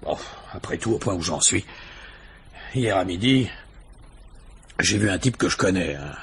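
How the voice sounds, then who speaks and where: A man's voice: low-pitched, lively intonation, measured pace, fairly bright tone, slight background noise, heavily acted. A man playing a nervous, reluctant ex-convict in a radio drama, hesitant, stammering and slangy. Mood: confiding, uneasy. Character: nervous, reluctant small-time male ex-convict under questioning. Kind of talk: 1950s French radio drama acting.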